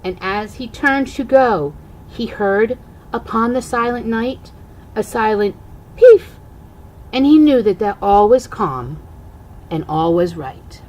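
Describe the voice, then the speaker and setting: An older woman, a grandmother, reading a rhyming children's storybook aloud in an expressive, singsong way. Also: fairly high pitch, slow, poor audio.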